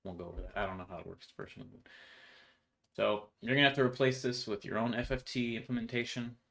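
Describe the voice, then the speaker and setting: A man's voice: mid-range voice, steady tone, quick pace, creaky, a bit bright. A young man giving a casual, offhand walkthrough of code in an informal explanatory way. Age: young adult. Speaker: programmer presenting own project. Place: indoors in a home room.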